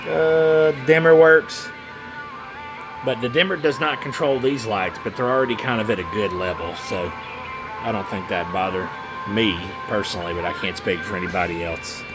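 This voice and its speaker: A man's voice: on the low side, steady tone, normal speed, music in the background, rough recording. A man giving a casual, rambling hands-on product demo, muttering to himself as he goes. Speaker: radio seller demonstrating a CB radio.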